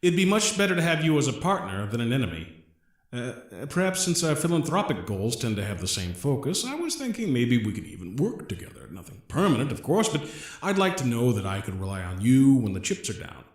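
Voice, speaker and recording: A man playing a polite, earnest gentleman genius in an audio drama, with a formal, wordy and slightly hesitant way of speaking. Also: mid-range voice, sing-song, brisk, roomy sound. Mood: earnest, measured. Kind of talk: scripted audio drama acting.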